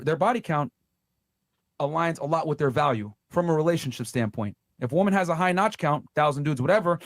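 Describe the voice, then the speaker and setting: A man in a played video clip, arguing in a fast, insistent, lecturing way. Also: on the high side, dry recording. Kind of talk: argumentative lecture.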